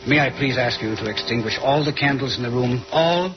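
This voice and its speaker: An actor playing a confident, condescending detective who lectures and teases in an old-time radio mystery. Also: male voice, mid-range voice, a little fast, music in the background, natural voice. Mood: tense, commanding. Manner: dramatic, lecturing, teasing. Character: confident, theatrical male detective, shrewd and condescending. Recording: muffled, noisy old radio recording.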